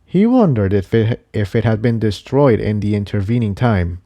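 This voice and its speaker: A man's voice: on the low side, lively intonation, moderate pace, warm tone. A man narrating an adventure story from a script. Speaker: narrator reading fan fiction.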